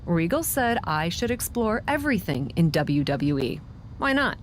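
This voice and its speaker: A woman's voice: fairly low pitch, very animated, normal speed, a little hiss or hum. A woman playing a curious, determined young wrestler in a video game cutscene, conversational and earnest.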